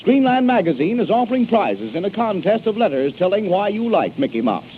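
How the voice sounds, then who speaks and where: A man's voice: sits high, very animated, fairly quick, slight background noise. A man working as a radio announcer, reading scripted copy in a 1930s broadcast style. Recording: tinny 1930s radio broadcast, loud music. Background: loud music.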